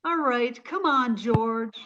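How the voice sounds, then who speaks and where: A woman playing a naive, soft-hearted, scatterbrained young woman in a 1940s-style radio comedy. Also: on the high side, slow pace, highs cut off. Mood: pleading.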